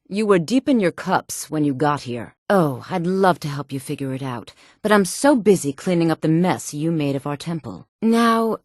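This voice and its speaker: An actress voicing a stern, sarcastic temple priestess in a fantasy video game, haughty and chiding in manner. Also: female voice, lowish voice, a little mellow, no echo, studio quality. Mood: irritated, chiding.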